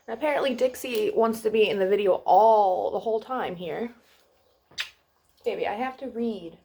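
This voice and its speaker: Woman, children's storytime host, fairly high voice, very unhurried, sing-song read-aloud, faint background noise on home video.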